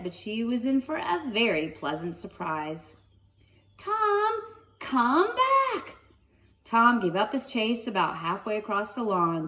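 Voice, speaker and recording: A woman reading a children's story aloud at home with expressive narration and casual asides. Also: medium pitch, recorded from a distance. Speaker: storybook reader. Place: sunroom at home.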